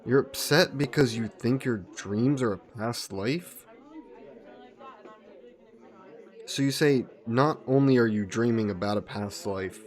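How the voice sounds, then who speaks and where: A man playing a soft yandere god who poses as a childhood friend, gentle, coaxing and evasive in an intimate roleplay audio drama. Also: medium pitch, close to an everyday voice. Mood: concerned, teasing.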